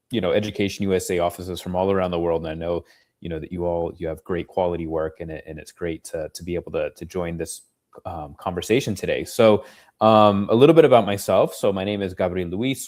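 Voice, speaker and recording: A man's voice: low voice, darkish tone. An American man, a university dean, giving an informal, conversational webinar presentation with frequent filler phrases. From United States. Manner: conversational, frequent "you know".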